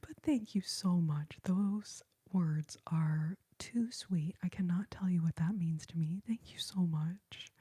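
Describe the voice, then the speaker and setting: A woman's voice: on the low side, slow pace, hardly any pauses, mellow, husky. A young woman whispering to her livestream viewers during an ASMR stream, greeting them with pet names, close to a phone mic. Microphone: phone held close.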